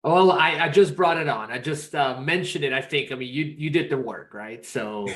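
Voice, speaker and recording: A man's voice: on the high side, moderate pace, fairly bright tone. A man from Peru, in his thirties, chatting as a podcast guest in an upbeat, rambling way with many restarts. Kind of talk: casual interview chat.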